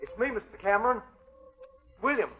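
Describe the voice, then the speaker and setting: Eager young victorian policeman, high voice that swings around, deferential and folksy, old radio play. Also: animated, moderate pace, muffled recording, over loud music. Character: eager, deferential young Victorian London constable, chatty informant. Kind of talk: 1940s radio drama acting.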